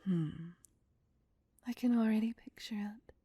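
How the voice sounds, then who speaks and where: A woman's voice: fairly high pitch, mellow, no room sound. A woman playing a seductive, possessive female vampire in a whispered ASMR roleplay, with a teasing, domineering manner. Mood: commanding, smug. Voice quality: breathy, whispery. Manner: slow, teasing, domineering, purring.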